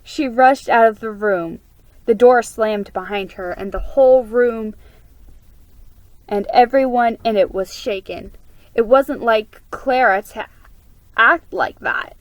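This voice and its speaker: A woman giving a dramatic, expressive reading of a children's story, with a slightly halting delivery. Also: fairly high pitch, dry recording, poor audio. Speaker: amateur story reader. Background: music under the reading.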